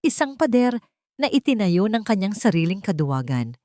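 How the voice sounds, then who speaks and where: A woman narrating a scripted dramatic story in a solemn, deliberate, suspenseful way. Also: mid-range voice, very animated, warm tone, no echo, studio quality.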